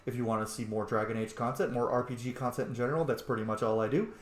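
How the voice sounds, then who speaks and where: A man's voice: mid-range voice, steady tone, quick pace. A man hosting a gameplay video with casual, informal, chatty commentary. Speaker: gaming video host. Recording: roomy, echoey voice track.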